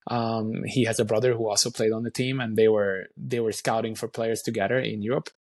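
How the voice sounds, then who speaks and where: A man in his early thirties from Hungary with a Hungarian accent, a native Hungarian speaker telling his story as an interview guest, halting with frequent restarts and ums. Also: fairly low pitch, flat delivery, a little mellow. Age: 30s. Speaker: youth soccer academy director. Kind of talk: casual interview chat.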